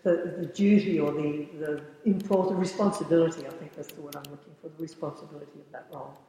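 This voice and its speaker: A woman's voice: sits low, steady tone, fast talker, mellow. Reflective woman in her sixties, echoey room. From born in the United States, raised in the Bahamas. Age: 60s. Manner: thoughtful, searching for words, frequent restarts. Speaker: yoga teacher being interviewed. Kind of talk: reflective interview answers. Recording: very echoey room with long reverb.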